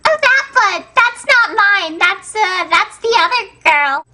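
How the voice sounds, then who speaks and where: A young storybook stepsister with an extremely high, piercingly bright voice. She drags her words and stalls, fibbing sheepishly in a comic fairytale retelling. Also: animated, slow pace, heavily acted. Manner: hesitant, stalling.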